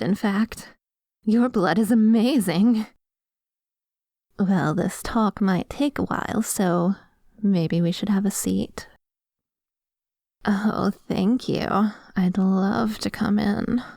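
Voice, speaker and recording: Woman doing a dominant vampire lady asmr roleplay, really slow n deliberate, gravelly fry, coy and kinda menacing. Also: medium pitch, a little mellow, no room sound, clean studio sound. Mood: coy, polite. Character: dominant, possessive female vampire posing as lab tech.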